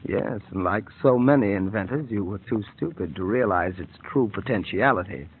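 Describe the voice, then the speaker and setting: An actor playing a scheming, murderous male doctor in an old radio drama, with a smooth, condescending manner. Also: on the low side, animated, normal speed. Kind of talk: 1940s radio acting. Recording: tinny old radio broadcast with faint hiss.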